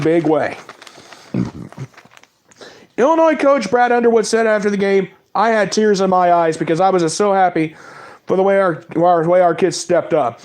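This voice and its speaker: A man with a fairly high voice and a cold runs through game scores and player stats in a loose, casual monologue. He reels off the numbers in quick lists. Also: darkish tone. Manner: reads stats in quick lists, apologizes for mispronouncing names. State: has a cold. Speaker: sports talk host. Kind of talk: casual sports recap monologue.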